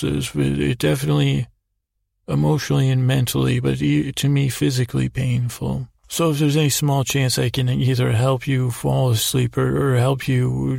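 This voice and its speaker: A man hosting a sleep podcast with a meandering, halting bedtime monologue, repeating words and trailing off in a creaky, gravelly voice. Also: medium pitch, darkish tone, no room sound, studio quality. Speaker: podcast host. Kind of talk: rambling bedtime monologue to help listeners sleep.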